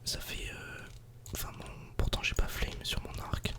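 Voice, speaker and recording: A woman whispering breathy, unvoiced ASMR commentary while playing a video game, murmuring to herself and to viewers. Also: unhurried.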